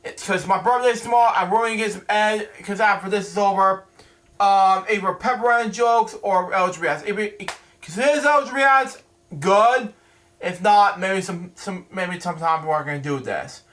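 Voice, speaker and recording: A young man hosting a casual bedtime read-aloud, chatting in a halting, rambling way and often repeating his phrases. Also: sits high, fairly bright tone. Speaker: host of a read-aloud bedtime story series.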